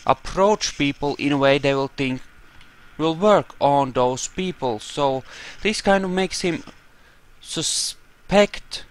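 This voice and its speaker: Slow, halting male game commentator. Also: on the high side. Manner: halting, thinking aloud. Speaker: gameplay narrator. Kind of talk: casual role-playing playthrough commentary.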